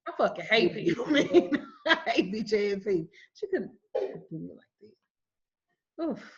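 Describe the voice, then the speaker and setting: A woman in her forties, a spiritual reader, telling stories on a livestream in a blunt, profane, animated way. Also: medium pitch, a little slow. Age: 40s. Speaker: spiritual reader and root worker. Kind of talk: casual livestream talk. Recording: muffled lo-fi stream audio.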